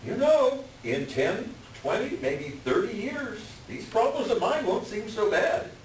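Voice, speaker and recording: A man playing a hapless middle-aged swindler doctor in a staged reading, pleading and self-deprecating, with a halting, wheedling delivery. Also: high voice, big pitch swings. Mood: resigned scheming. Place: echoey room, far from the mic. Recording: distant echoey room with hiss.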